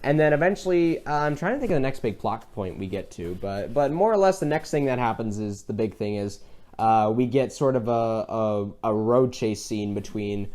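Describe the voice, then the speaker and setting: Male podcast co-host, casual film-chat style, animated and rambling storyteller. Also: medium pitch, normal speed.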